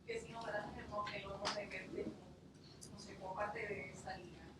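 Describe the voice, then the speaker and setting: A woman's voice: mid-range voice, steady tone, normal speed. Woman reporter in a very echoey room. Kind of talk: press conference questioning. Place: indoor press conference room. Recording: echoey room, distant mic, noisy.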